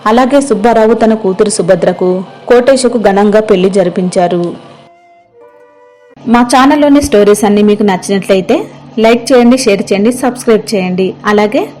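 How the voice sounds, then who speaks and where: A woman's voice: mid-range voice, moderate pace, warm tone, faint music behind, low-quality recording. A woman storyteller reading scripted narration for a moral tale.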